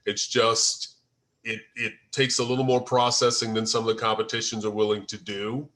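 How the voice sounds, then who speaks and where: This is an American man with a fairly low voice. He talks in an informal, unscripted way, as a writer in an online group discussion. His delivery is halting and hesitant, as he searches for the next word. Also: level tone, fairly bright tone. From United States. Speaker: screenwriter and first-time filmmaker. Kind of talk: informal discussion after a table read. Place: online group video call.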